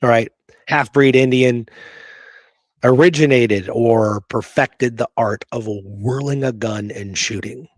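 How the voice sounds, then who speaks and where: A man's voice: mid-range voice, takes it slowly, no echo. Guy doing a history podcast, reads bits out then comments casually, super dry sound no room. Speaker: podcast co-host. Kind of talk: conversational history talk, reading quoted passages and commenting.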